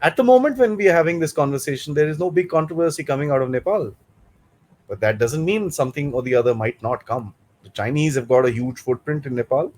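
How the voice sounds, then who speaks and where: A man's voice: fairly high pitch, lively intonation, quick pace, darkish tone. Emphatic male show host. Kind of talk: scripted show introduction.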